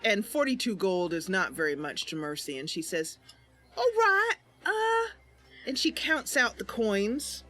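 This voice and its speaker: Lively woman streamer narrating roleplay. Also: on the low side, normal speed, few pauses, faint music behind. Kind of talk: casual narration of a game roleplay.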